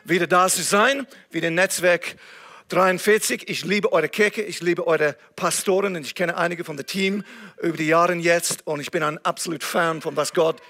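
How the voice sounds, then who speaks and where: A man's voice: a little high, fairly bright tone. A man from England preaching a sermon in German with an English accent, earnest and now and then searching for words. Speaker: guest preacher.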